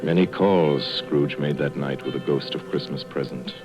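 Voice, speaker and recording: A solemn storybook narrator of a Victorian ghost tale for radio. The voice is very low, with measured, declamatory literary phrasing over clear background music. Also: male voice, muffled recording. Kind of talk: scripted radio drama narration.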